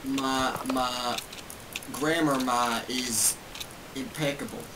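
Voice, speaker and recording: A man playing a bumbling male president with a put-on foreign accent and halting, broken English. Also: mid-range voice, steady tone, natural voice. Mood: pompous. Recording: distant noisy mic.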